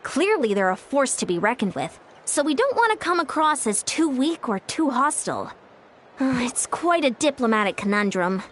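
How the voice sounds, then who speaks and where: A woman playing an earnest, courteous young woman in a fantasy video game, speaking in a measured way. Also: sits high, very animated, a little hiss or hum. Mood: serious. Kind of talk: English video game voice acting. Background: game music.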